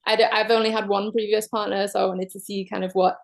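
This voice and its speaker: A woman from Manchester with a Mancunian accent, a guest openly sharing her love story in a casual chat, pausing often on soft "um"s. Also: mid-range voice, flat delivery, vocal fry. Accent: Mancunian English. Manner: open, measured, many soft "um"s.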